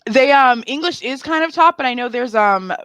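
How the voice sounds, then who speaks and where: A woman comedian born in Haiti, chatting as a podcast guest in an animated, joking way with many "um"s. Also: sits high, moderate pace, clear, resonant tone, dry recording. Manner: animated, joking, lots of fillers like "um". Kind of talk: casual interview chat.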